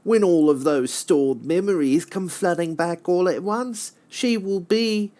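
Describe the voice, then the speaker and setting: Trickster demon prince, high-pitched voice, slow theatrical drawl, smug menace, fantasy video game character. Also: male voice, dark tone. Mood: sly menace.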